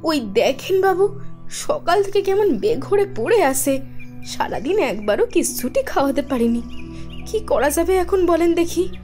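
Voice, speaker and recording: Very high-pitched plaintive village wife. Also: female voice, big pitch swings, a little slow, darkish tone, soft background music, exaggerated voice. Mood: worried, plaintive. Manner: plaintive, helpless. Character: worried village wife of a sick craftsman. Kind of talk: animated horror story dialogue.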